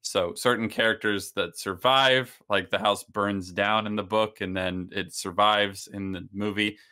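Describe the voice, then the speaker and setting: A man with a low voice and a bright, forceful edge explains things in a casual discussion. His delivery is halting as he builds each point. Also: fairly even intonation. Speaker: discussion panelist.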